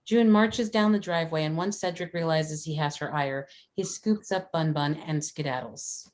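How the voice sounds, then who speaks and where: Woman, low pitch, scripted narration, stage directions, table read narrator. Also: moderate pace, a little mellow, slight background noise.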